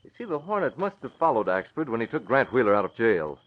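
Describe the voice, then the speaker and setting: An actor playing a composed, civic-minded newspaper publisher, speaking crisply and with authority in an old-time radio drama. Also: male voice, medium pitch, very animated, fast talker, slight background noise, close to an everyday voice. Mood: firm, composed. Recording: thin phone-like vintage radio recording.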